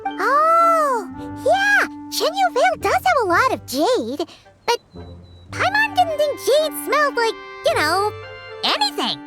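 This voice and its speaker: A woman voicing a tiny childlike female companion sprite in a video game, squeaky, blunt and excitable. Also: slow, over music, exaggerated voice. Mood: excited. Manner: blunt, speaks of self in third person. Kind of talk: video game character dialogue.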